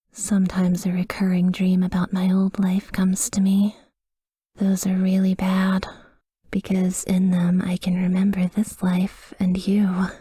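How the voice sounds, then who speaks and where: A woman playing a tender, tormented vampire lover in a slow, breathy, whispered ASMR roleplay, hushed and intimate. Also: on the low side, no echo, studio quality. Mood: loving, melancholy. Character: tender, tormented female vampire lover watching over her sleeping partner.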